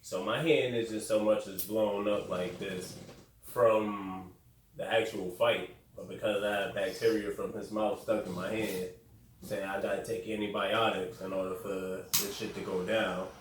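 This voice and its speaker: A man's voice: on the low side, steady tone, a little slow. A young man hosting a podcast, telling a story in a blunt, casual way with swearing and restarts. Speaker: podcast host. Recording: very echoey room, distant mic, faint noise.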